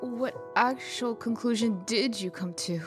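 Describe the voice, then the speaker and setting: A woman's voice: a little high, a little slow, music in the background, close to an everyday voice. A woman playing a stern, composed government official in a fairy-tale comic dub, formal and insistent. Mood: insistent, serious. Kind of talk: dramatic comic dub acting.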